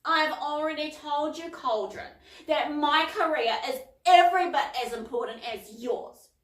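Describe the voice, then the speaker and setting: A woman voicing a rude, quick-tempered housekeeper who talks bluntly, recorded in a roomy space at some distance from the mic. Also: high voice, pitched above the performer's own voice. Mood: boastful. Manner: snarling, blunt.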